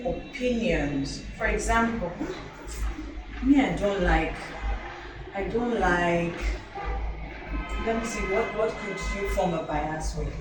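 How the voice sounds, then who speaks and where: A woman's voice: fairly low pitch, animated, slow pace, pauses often. A woman, an elocution teacher, giving a talk to teenagers, asking them questions and repeating herself for emphasis. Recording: roomy hall from a distance, music behind.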